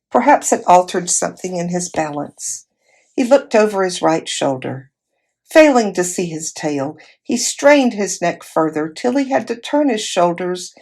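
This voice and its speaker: A woman reads a children's storybook aloud in an expressive narrating style. Her pitch moves around in a very lively way while the pace stays slow, and there is a gravelly creak in her voice. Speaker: volunteer storybook reader.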